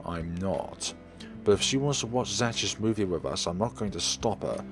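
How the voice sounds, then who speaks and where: A man's voice: low-pitched, animated, normal speed, over music. A man voicing a casual, impatient but reassuring male friend in a visual novel. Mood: firm, reassuring. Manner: casual, firm, certain.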